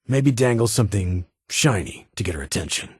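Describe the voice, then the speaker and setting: A guarded, duty-bound security chief in a video game. His voice is very husky and rough-edged, sitting on the low side. He speaks formally in measured, clipped phrases, recorded close and dry. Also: expressive, no echo. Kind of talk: scripted game character dialogue.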